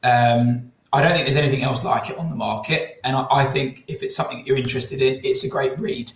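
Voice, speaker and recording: A man speaking as a guest on a property webinar over a video call, relaxed, with frequent ums. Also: mid-range voice, fairly quick. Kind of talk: casual webinar talk. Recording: echoey room on a video call.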